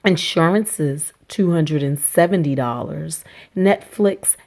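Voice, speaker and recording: Hoarse chatty woman vlogger. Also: deep voice, slow pace, darkish tone. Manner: chatty, upbeat, talks to viewers as y'all. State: hoarse from a cold. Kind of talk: casual budget vlog. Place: small rented apartment indoors.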